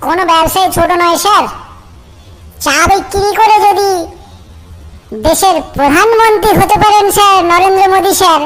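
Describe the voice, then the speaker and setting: Tiny cartoon schoolboy, super high squeaky voice, earnest, keeps repeating himself. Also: female voice, normal speed, fairly bright tone. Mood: earnest, eager. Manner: earnest, deferential, repetitive. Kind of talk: comedy cartoon dialogue.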